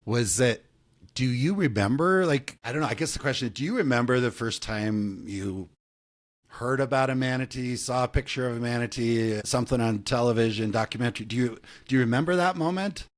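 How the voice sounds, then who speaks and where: A man's voice: medium pitch, a little fast. Male podcast host, chatty, roundabout questioner. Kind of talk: casual interview questions.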